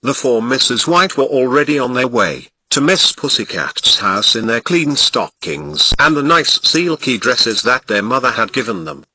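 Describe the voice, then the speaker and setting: A Ghanaian man narrates an old nursery tale with an expressive touch, leaning into the descriptive words. His tone is strikingly bright and sharp, and his pitch swings lively up and down. The recording is dry and close. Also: a little slow, few pauses, slightly rough, no echo, low-quality recording. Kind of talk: storybook reading.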